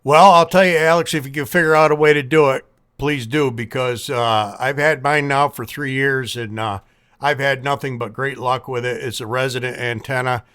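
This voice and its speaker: A man talking casually as an amateur radio operator in a folksy, measured, plain-spoken manner. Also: mid-range voice, steady tone, a bit bright, clear, resonant tone. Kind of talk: casual ham radio contact.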